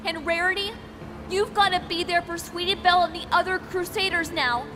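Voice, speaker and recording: A woman's voice: extremely high pitch, steady tone, fairly quick, over music. A woman voicing a young, resolute pony princess in a dramatic fan fiction reading, earnest and pleading, ready to sacrifice herself. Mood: pleading farewell.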